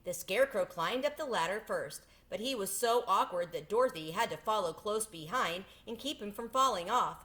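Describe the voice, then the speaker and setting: A woman's voice: mid-range voice, lively intonation, moderate pace, few pauses, fairly bright tone. A woman reading a children's storybook aloud as a storyteller.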